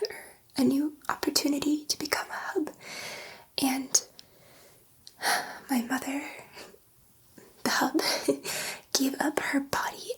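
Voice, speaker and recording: A gentle, excitable female alien scientist, played by a woman, in a sci-fi ASMR roleplay. She whispers softly and breathily at a very unhurried pace, in a high register. Her delivery is halting and coaxing, meant to reassure a human test subject. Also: heavily acted, poor audio. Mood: fond, explanatory.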